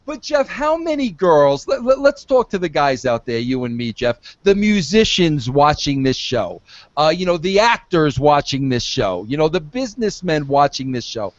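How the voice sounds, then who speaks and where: Podcast host, male, 50s, pushy and lively, dull dry audio. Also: a little high, moderate pace, no room sound. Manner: pushy, coaxing, repeats himself. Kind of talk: casual podcast chat.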